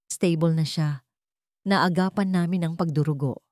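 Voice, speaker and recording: A woman's voice: sits low, normal speed, a little mellow, no room sound, studio quality, close to an everyday voice. A woman voicing a serious, puzzled male doctor in an audio drama, speaking firmly. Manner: serious, firm.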